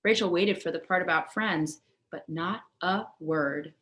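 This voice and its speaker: A woman reading a children's picture book aloud with expressive storybook narration. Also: lowish voice, a little slow. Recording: echoey room.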